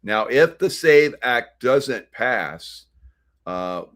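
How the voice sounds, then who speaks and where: An American man hosting an unscripted political commentary, speaking in a halting, rambling way. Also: medium pitch, animated, fairly bright tone. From United States. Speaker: host of a political commentary show.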